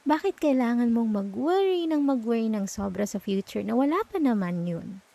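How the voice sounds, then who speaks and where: A woman with a Filipino accent playing a gentle, reassuring girlfriend in an intimate ASMR roleplay, speaking softly and tenderly close to the microphone. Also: on the high side, lively intonation, moderate pace, creaky, no room sound. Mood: tender coaxing. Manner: soft, tender, coaxing, with murmured hums. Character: gentle, reassuring young girlfriend comforting her partner at night. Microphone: close microphone, dry.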